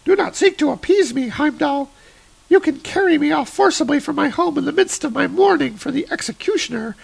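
A man voicing a haughty, indignant enchantress woman in a creaky falsetto, with grand archaic phrasing, reading a comic aloud. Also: lively intonation, brisk, full character voice, rough recording.

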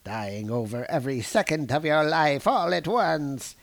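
A man's voice: a little high, unhurried, vocal fry, voice pushed up. A man voicing a menacing male villain who gloats over dying gods, as he reads a comic aloud. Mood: gloating. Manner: cold gloating.

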